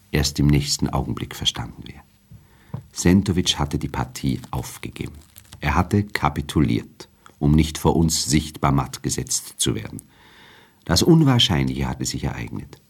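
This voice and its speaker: Male narrator for literary radio fiction: bottom-of-the-range pitch, husky tone, measured, formal, suspenseful delivery.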